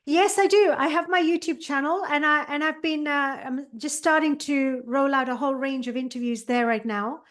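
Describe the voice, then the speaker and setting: A woman's voice: high voice, normal speed, hardly any pauses. A woman who is an author and meditation coach, talking as a guest on a podcast in an enthusiastic, meandering way. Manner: enthusiastic, many fillers and restarts. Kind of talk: interview chat.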